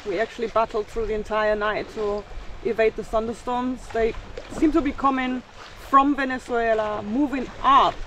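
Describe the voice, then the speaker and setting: A woman's voice: a little high, fairly even intonation, moderate pace, a bit bright, distant mic. Woman vlogging on a windy boat deck. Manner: chatty, matter-of-fact storytelling. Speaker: sailing vlogger. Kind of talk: casual vlog narration to camera. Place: outdoors on a sailboat deck at sea. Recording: wind and water noise behind.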